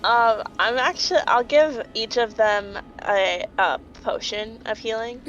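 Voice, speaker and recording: A woman's voice: on the high side, unhurried, a bit bright. A woman playing a tabletop game, hesitantly thinking aloud as she decides her moves. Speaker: player. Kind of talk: casual tabletop game play. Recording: slightly muffled, faint background noise.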